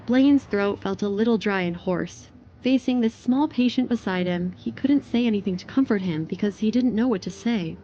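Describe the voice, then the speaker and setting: Female narrator for scripted audio fiction, dark mellow tone, medium pitch, slightly dull recording. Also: moderate pace, a little hiss or hum.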